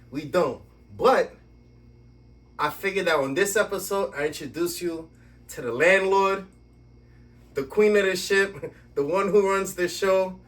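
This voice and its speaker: Podcast host, man, playful lively delivery, basement studio, a little distant from the mic. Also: sits high. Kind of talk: podcast interview.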